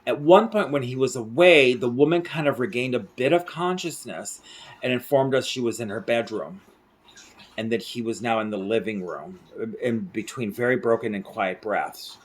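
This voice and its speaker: A man's voice: medium pitch, lively intonation, moderate pace, husky. A middle-aged man from central rural Mexico, an interpreter telling stories to the camera in a rambling way, full of fillers and restarts, recorded close up in a small room at night. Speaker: interpreter and vlogger. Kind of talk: casual storytelling to camera.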